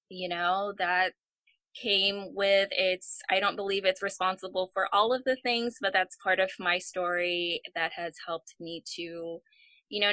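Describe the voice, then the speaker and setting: A woman's voice: lowish voice, pushed and bright, no echo. A woman in her thirties from the United States, telling her story reflectively as a podcast co-host. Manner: reflective, many fillers. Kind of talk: casual podcast chat.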